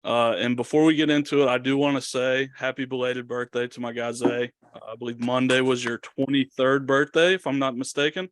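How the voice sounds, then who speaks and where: A man's voice: mid-range voice, steady tone, fairly quick, hardly any pauses, dry recording. A young man co-hosting a podcast, introducing his guest in a friendly way with small slips. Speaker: podcast co-host. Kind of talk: casual chat.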